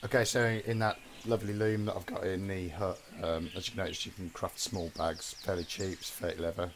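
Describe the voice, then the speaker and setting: A man with a hoarse voice from a heavy cold, chatting casually and self-mockingly as he walks through a survival game. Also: low-pitched, flat delivery, brisk, a little hiss or hum. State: hoarse from a cold, losing his voice. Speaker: gameplay guide presenter. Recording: loud game ambience behind. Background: game sounds, animal noises, rural outdoor ambience.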